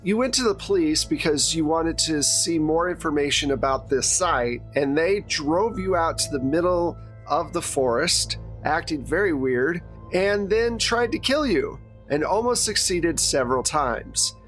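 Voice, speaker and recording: A man's voice: fairly high pitch, animated, measured pace, fairly bright tone, soft background music. A man running a tabletop horror game, narrating conversationally with wry asides. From Wisconsin. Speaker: game master.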